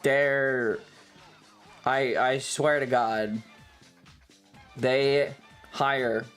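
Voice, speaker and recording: Male streamer, slightly tired, unhurried rambling chat with swearing, music underneath. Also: mid-range voice, fairly even intonation, fairly bright tone, clear, resonant tone. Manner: sweary, self-deprecating. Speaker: livestream host.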